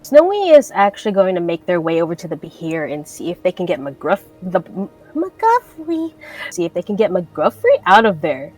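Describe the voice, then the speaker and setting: A woman's voice: fairly low pitch, huge pitch swings, normal speed. A woman casually describing her character's actions as a player in a tabletop roleplaying game. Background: quiet music.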